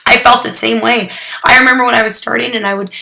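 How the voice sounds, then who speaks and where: Young woman with a cold, hoarse scratchy voice, bright edge, rambly chatty critique with lots of ums. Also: on the high side, fairly even intonation, fast talker. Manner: chatty and rambling, with many "um"s and restarts. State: hoarse, getting over a cold. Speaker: photography mentor critiquing student work. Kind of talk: casual recorded critique addressed to students.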